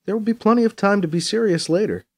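A man's voice: high-pitched, fast talker, darkish tone, pitched above the performer's own voice. A man voicing an earnest, easily flustered male council leader in an audiobook, protesting and insistent. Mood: tender.

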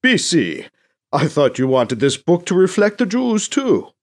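A cautious, exasperated church negotiator in an audiobook, acted by a male narrator. He is earnest and measured in his arguments, with pitch that lifts and falls expressively. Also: wildly swooping pitch, exaggerated voice. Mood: challenging.

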